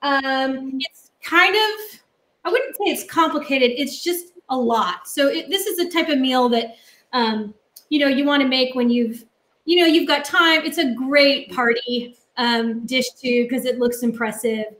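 A chatty middle-aged woman talks through a cooking demo from her home kitchen, rambling along with plenty of ums. Her voice sits fairly high. It comes over a webcam on a video call and bounces around an echoey room. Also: a little slow, fairly bright tone. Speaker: guest cook. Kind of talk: casual cooking demo chat. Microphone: laptop webcam on a video call.

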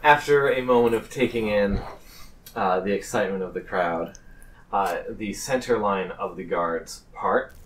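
A man runs a tabletop game in a low voice. He narrates slowly and haltingly, with amused asides, sitting a little back from the mic. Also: a bit bright. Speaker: game master. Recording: slightly distant mic, faint noise.

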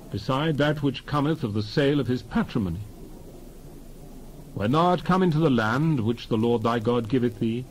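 A man giving a dramatized scripture reading as narrator, solemn and declamatory, in measured phrases. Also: medium pitch, darkish tone, a little hiss or hum, rough recording. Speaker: Bible narrator.

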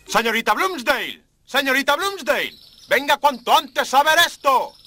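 A man's voice: very high voice, animated, normal speed, pushed and bright, faint music behind, exaggerated voice. An actor playing an earnest, easily alarmed male jungle explorer in a cartoon, formal and urgent in manner. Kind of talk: animated cartoon dub acting.

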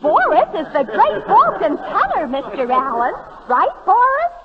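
A woman's voice: extremely high pitch, wildly swooping pitch, measured pace, heavily acted. A woman playing a perky, naive, girlish American stooge in 1930s radio comedy, with a singsong delivery. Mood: eager. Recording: noisy, echoing, muffled 1930s radio recording.

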